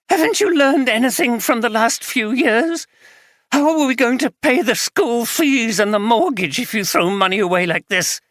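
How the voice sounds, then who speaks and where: A reader voicing Christine, an angry, slightly slurring middle-aged wife, in an audiobook. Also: female voice, fairly high pitch, fairly bright tone, dry recording, studio quality, voice pushed up. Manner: bitter, contemptuous, slurring slightly. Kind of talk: audiobook character reading.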